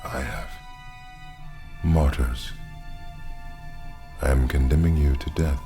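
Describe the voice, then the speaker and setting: Radio play: huge, ominous male chief, rock-bottom pitch, slow and solemn, loud music underneath. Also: steady tone, full character voice. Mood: grave, ominous. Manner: terse, slow, solemn pronouncements. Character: massive unseen male police chief, grave and ominous.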